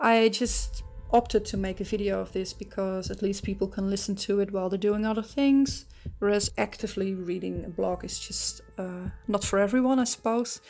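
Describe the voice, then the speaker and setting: A woman's voice: medium pitch, normal speed, darkish tone, faint music behind. A woman from the Netherlands with a strong Dutch accent, narrating a casual book review and thinking aloud as she goes. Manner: chatty, thinking aloud with frequent ums and restarts. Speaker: true crime channel host.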